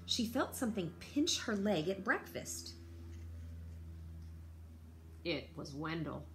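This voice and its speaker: A woman with a low voice reads a children's picture book aloud in an animated, unhurried way. She talks to young listeners like a teacher, and faint noise sits behind her. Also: poor audio. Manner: expressive, speaks to young listeners.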